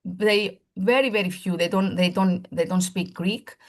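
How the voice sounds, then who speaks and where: A woman's voice: fairly low pitch, fairly even intonation, normal speed. A woman, a primary school teacher, giving a seminar presentation in formal lecture phrasing, earnest and often restarting as she searches for words.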